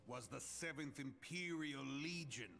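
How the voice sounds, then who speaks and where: An actor playing a fiery, commanding military leader, proclaiming solemnly in grand fantasy game oratory. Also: male voice, fairly high pitch, lively intonation, vocal fry, bright, forceful tone, soft background music, exaggerated voice. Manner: solemn, declamatory, measured proclamation. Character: fiery, commanding male military leader rallying his nation.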